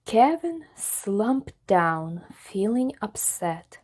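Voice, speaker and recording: A woman narrating a rhyming children's storybook aloud in an expressive, scripted style. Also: medium pitch, drawn-out words. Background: background music.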